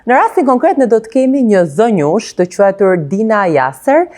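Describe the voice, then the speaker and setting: A woman's voice: on the high side, big pitch swings, fairly quick, a little mellow. A woman, hosting a TV interview and switching between languages, often hesitating with fillers. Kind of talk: bilingual TV interview.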